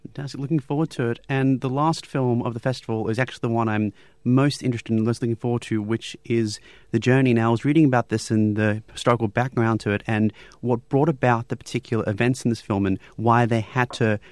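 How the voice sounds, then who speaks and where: Radio host guy, talks fast, eager, dry close studio sound. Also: medium pitch, fairly even intonation, a little mellow, dry recording. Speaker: radio presenter. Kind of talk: radio interview.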